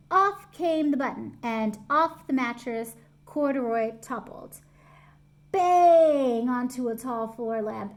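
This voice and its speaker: A middle-aged woman reading a picture book aloud to young children at home, expressive, pausing to explain and ask questions. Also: fairly high pitch. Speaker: storytime reader. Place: small room at home, indoors.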